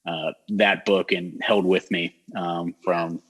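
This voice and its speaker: A man hosting a local talk show, speaking in a relaxed, rambling way with many fillers and restarts and a neutral American accent. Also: sits low, slow pace, slightly rough. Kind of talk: casual interview chat.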